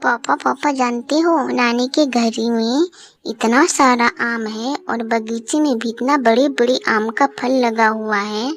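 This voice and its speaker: A woman voicing an excited little boy in a cartoon story. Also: fairly high pitch, slow pace. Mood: excited, eager. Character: excited little boy, a mango-loving grandson. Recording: music behind the voice.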